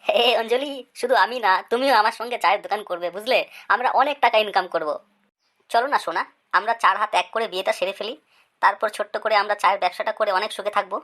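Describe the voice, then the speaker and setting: Cheeky schoolboy son for comedy cartoon, played by a woman: bright, quick, swaggering, exaggerated. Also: mid-range voice, close to an everyday voice. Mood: boastful, romantic.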